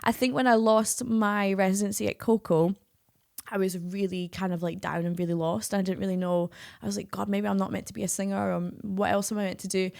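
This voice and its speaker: Female guest, chatty and encouraging, mid-pitched. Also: flat delivery, a little fast, gravelly, a little mellow. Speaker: podcast guest, singer. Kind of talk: casual podcast interview.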